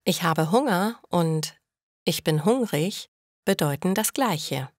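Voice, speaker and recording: A woman narrating a beginner language lesson, speaking slowly and clearly for learners. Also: fairly low pitch, expressive, no room sound, studio quality. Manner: slow and clearly articulated for learners. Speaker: language teacher. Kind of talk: slow scripted lesson narration.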